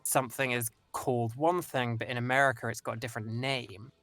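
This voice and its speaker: A man's voice: mid-range voice, fairly even intonation, fairly quick, fairly bright tone. A young man with an English accent, chatting as a voice-actor guest in a rambling, self-interrupting way. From England. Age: young adult. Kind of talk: casual interview chat.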